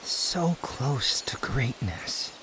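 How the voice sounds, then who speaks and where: Slow-talking aloof young wanderer, acted by a man. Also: mid-range voice, sing-song, whispered, poor audio. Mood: brooding, guarded. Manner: slow, brooding, haughty delivery with sighs and pauses. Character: aloof, proud young male wanderer, guarded yet teasing and secretly fond. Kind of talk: intimate roleplay ASMR audio drama. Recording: outdoor nature ambience with insects. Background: insect and nature ambience.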